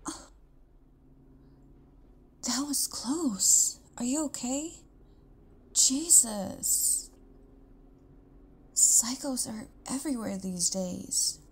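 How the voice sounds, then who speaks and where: A woman playing a caring, tender partner in an intimate audio roleplay, talking casually in a soft, hesitant way with breathy near-whispers. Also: on the high side, lively intonation, extremely slow, long pauses, a little hiss or hum. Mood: alarmed. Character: caring, tender live-in partner of an amnesiac lover.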